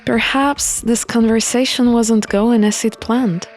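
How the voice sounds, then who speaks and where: A woman reading a visual novel aloud and commenting on it as she plays, in a deliberate manner. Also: a little high, fairly even intonation, darkish tone. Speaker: game playthrough narrator. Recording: music under the voice.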